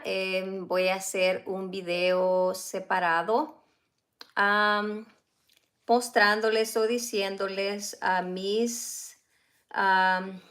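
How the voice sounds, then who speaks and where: A woman and mother whose first language is Spanish, hosting a casual live stream at home, talking slowly with many fillers and small laughs. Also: medium pitch, a bit bright. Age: adult, a mother. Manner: slow, halting, with frequent fillers and light laughs.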